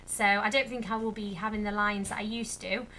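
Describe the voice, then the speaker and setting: A young woman, a little croaky, chatting casually to camera in a rambling vlog update. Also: mid-range voice, flat delivery, brisk, sharp tone, a little hiss or hum, poor audio. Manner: chatty, rambling with many fillers. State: slightly croaky. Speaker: vlogger.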